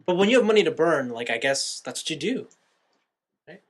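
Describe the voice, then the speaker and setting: Animated, higher-voiced young man chatting. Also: a little fast. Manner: animated, slangy storyteller. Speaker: podcast co-host. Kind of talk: casual chat.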